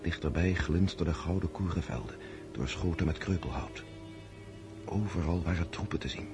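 Low-voiced, awestruck count remembering battle. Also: male voice, normal speed. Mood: awed, reflective. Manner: lyrical, descriptive. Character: Pierre, awestruck count recounting a battle he witnessed. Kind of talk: radio drama first-person narration. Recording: music behind the voice.

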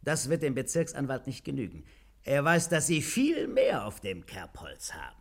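An actor playing a sly, mocking police inspector who interrogates relentlessly in a German radio crime satire. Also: male voice, medium pitch, big pitch swings, moderate pace. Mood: coolly mocking. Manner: theatrical, needling, politely menacing. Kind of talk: 1950s German radio comedy crime drama acting.

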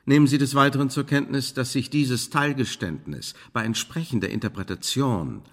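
Man playing calculating male intelligence official, mid-pitched, measured, bureaucratic, quietly menacing, radio drama. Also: close to an everyday voice. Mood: coolly menacing. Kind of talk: radio play acting.

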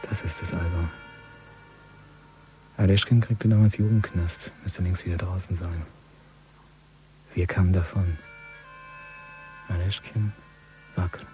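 An actor playing a wary, edgy man on a stakeout, muttering a breathy inner monologue in a radio crime drama. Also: low voice, steady tone, slow pace, pauses often. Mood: brooding, uneasy. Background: music.

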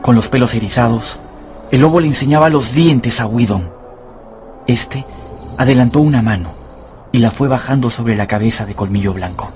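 A man's voice: mid-range voice, moderate pace, darkish tone, soft background music, natural voice. A man narrating a radio drama as a dramatic storyteller reading scripted prose.

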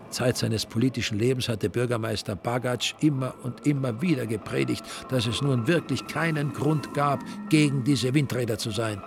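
A man's voice: mid-range voice, creaky, background noise. A man narrating a scripted crime story in a dry, wry storytelling manner. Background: music under the narration.

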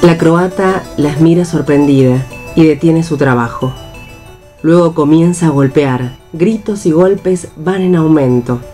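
Low-voiced woman narrator over music. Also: measured pace, dark tone. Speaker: narrator reading stage directions. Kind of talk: scripted narration for radio theatre. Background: clear music.

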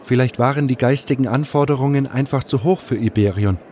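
A man's voice: medium pitch, a little fast, a little mellow, a little hiss or hum, pitched above the performer's own voice, natural voice. A man playing an earnest, thoughtful cult disciple talking with a friend in a fantasy audio drama. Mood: earnest, hopeful.

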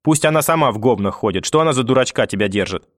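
A man's voice: mid-range voice, expressive, quick pace, dry recording, clean studio sound, close to an everyday voice. A man voicing a spiteful, domineering old grandmother in an audiobook, scolding and cursing with sarcasm. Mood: scornful, insistent. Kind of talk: audiobook character acting.

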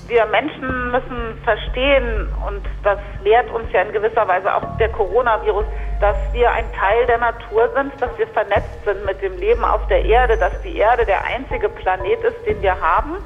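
A man's voice: sits high, steady tone, normal speed, soft background music. A man making an earnest statement with emphatic repetition. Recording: dull, reverberant recording.

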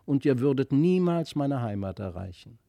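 A man voicing a mysterious, gentle fairy child in an earnest, lyrical way for a storybook reading. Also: medium pitch, sing-song, normal speed, gravelly, dry recording. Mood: wistful, earnest. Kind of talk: literary audiobook reading.

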